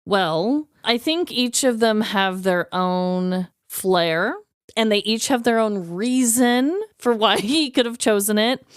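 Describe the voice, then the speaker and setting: A woman's voice: medium pitch, clean tone, no room sound, clean studio sound. A woman in her thirties co-hosting a podcast in casual conversation. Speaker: podcast co-host. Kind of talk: casual chat.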